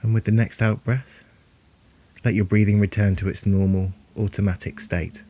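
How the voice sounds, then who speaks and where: A man guiding a meditation in a calm, soothing, unhurried way, leaving long pauses between phrases. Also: on the low side. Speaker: meditation guide. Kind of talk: guided meditation narration.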